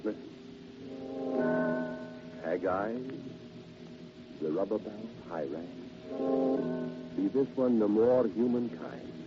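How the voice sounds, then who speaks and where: Casting: chatty salesman with a sinister streak, lowish voice, courteous and theatrical, heard through muffled old-time radio. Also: lively intonation, loud music behind the voice. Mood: sly, insistent. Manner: polite, halting, insinuating, theatrical. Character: genteel, chatty, quietly sinister henpecked encyclopedia salesman. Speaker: radio drama actor. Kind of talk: vintage radio horror monologue, one-sided conversation. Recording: noisy, dull vintage radio recording.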